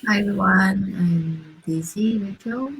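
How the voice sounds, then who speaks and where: A woman's voice: mid-range voice, slow pace, rough recording. A woman casually introducing herself as a panelist on a live stream. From Singapore. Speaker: online business owner, panelist. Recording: faint background noise and clicks.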